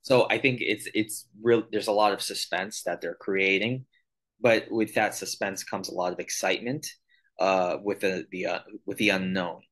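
A man's voice: low-pitched, fairly even intonation, moderate pace, a bit bright. A man in his thirties co-hosting a casual podcast over a video call, thinking aloud and reaching for analogies. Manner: thoughtful, searching for words, uses analogies. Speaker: podcast co-host.

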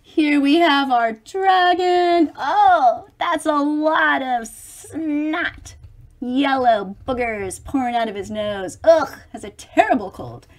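A woman's voice: high voice, slow pace. A middle-aged woman reading a children's picture book aloud, expressively, with chatty explanations for young listeners. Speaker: storybook reader for children.

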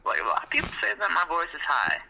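A man's voice: fairly high pitch, wildly swooping pitch, very fast, creaky, sharp tone, low-quality recording. A man hosting a casual podcast, joking around, teasing his co-host and laughing often. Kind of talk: casual chat.

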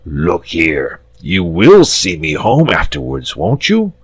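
Man acting lazy jovial dragon, low voice, unhurried drawl, pompous, chummy, storybook character. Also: animated, fairly bright tone, no room sound, full character voice. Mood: self-important. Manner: drawling, pompous, chummy old-fashioned British phrasing. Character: big jovial, lazy, self-satisfied sleepy dragon.

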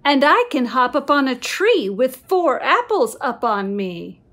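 Middle-aged female storyteller for a kids' picture book, very animated range, unhurried and sing-song. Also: high-pitched. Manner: expressive, sing-song, slow, with whispered asides. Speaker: children's book reader. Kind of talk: animated read-aloud of a picture book for young children. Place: small room at home.

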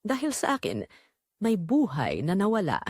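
A woman tells a dramatic first-person story as scripted narration. Her pitch is medium and moves expressively, and the recording is dry and close. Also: huge pitch swings, moderate pace, darkish tone, no echo.

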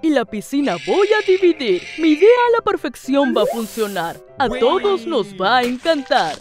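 A woman voicing an excitable cartoon lion, declaiming each action in sing-song rhyme for a children's show. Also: high-pitched, normal speed, over music. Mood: eager.

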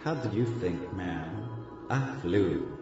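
Guy doing a dead street kid big brother in an audio drama, low voice, slangy, defensive, music behind. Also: expressive, takes it slowly. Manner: slangy street talk with Spanish touches. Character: dead young street tough turned angel, defensive, regretful big brother. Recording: muffled, echoey lo-fi audio drama recording. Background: loud background music.

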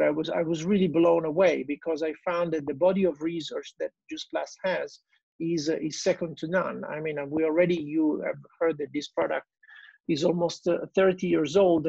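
An Italian-accented man in his forties talks through a video call, his voice gravelly and a bit husky. He hesitates often, restarting phrases. The earbud mic sounds dull and lo-fi. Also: a little high, darkish tone, no echo. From Italy. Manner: hesitant, many fillers and restarts. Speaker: guest speaker. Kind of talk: business presentation. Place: small room at home, indoors.

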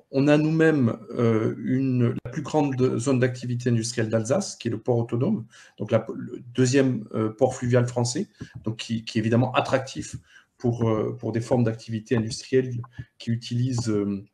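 A middle-aged man presents as a panellist on an online webinar, speaking into a headset. His voice sits at a middle pitch, and he explains in a halting way, often doubling short words. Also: steady tone, gravelly, dark tone. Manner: halting, repeats small words. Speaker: local economic development director, panellist. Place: indoor room, on a video call. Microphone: headset on video call.

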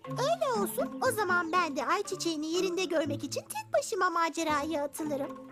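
Very high-pitched, eager little girl bee. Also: very animated, a little fast, loud music behind the voice. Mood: eager, determined. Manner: eager, urging, determined. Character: cheerful, adventurous, stubborn young girl bee. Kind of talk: animated children's cartoon dub.

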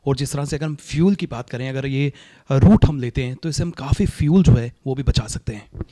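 A man's voice: mid-range voice, brisk, mellow. A middle-aged man with an Indian accent conducting a news interview, introducing his guest and asking questions. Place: indoor living room, seated interview. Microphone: handheld reporter mic.

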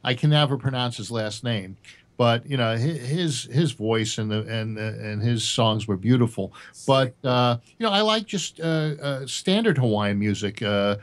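A man's voice: medium pitch, moderate pace. Hesitant man full of fillers. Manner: hesitant, full of "uh" and "you know". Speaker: panel guest. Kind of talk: casual chat.

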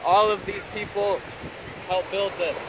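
Teen boy vlog host, American, high voice, eager and stumbling, handheld camera, heavy wind and surf. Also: steady tone, unhurried. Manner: eager, talks to camera with restarts. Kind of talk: casual vlog narration. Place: outdoors on a busy ocean beach. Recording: loud wind and surf noise.

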